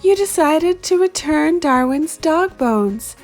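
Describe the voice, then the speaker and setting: A woman putting on a sweet, doting cartoon mother who coos pet names. The voice is raised and sing-song. The delivery is slow and gentle. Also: high voice, animated, faint music behind, pitched above the performer's own voice, full character voice. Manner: affectionate, cooing.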